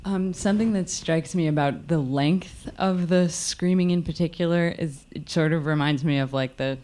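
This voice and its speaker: Thoughtful mid-pitched speaker giving analytical comment. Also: moderate pace, a little mellow. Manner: thoughtful, hedging, articulate. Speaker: audience member.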